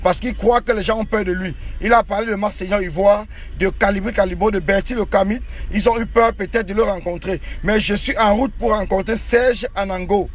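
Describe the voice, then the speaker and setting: A man's voice: sits high, fairly even intonation, fairly quick, few pauses. Defiant man streaming from his car. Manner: boastful, defiant, repetitive. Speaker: live streamer. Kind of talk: live stream while driving. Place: inside a car in the city.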